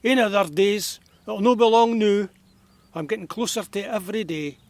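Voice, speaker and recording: Grumpy, wry Scottish man of eighty played by a male actor, broad dialect, fairly high voice, audio drama, close dry sound. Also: normal speed, no room sound. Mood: grumbling, wry. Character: eighty-year-old grumpy but wry Scottish man living alone.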